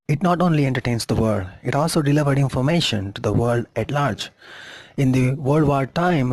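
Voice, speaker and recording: A man's voice: mid-range voice, no room sound. A man reading a scripted history narration as a documentary narrator.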